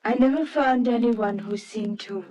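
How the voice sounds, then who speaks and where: An actress playing a shy, earnest, lonely bookshop woman in an old-time radio drama, with a gentle, hesitant way of talking. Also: fairly high pitch, measured pace, lo-fi sound, close to an everyday voice. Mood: wistful, shy.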